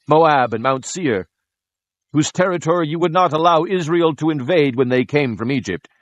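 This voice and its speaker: A devout king of Judah in an audio Bible drama. He declaims solemnly and with passion, his voice a little high for a man. The recording is dry, with no room sound. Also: a bit bright, no room sound. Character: devout, impassioned king of Judah praying and exhorting his people.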